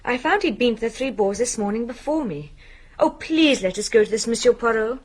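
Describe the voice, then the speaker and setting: An actress playing a determined young in a radio mystery, earnest and well-spoken. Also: female voice, on the high side, expressive, brisk. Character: earnest, determined young upper-class Englishwoman. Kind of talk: radio drama acting.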